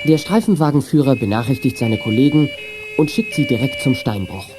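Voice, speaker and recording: A man narrating a youth detective radio play in a measured, matter-of-fact, scripted manner. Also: medium pitch, dark tone, lightly acted.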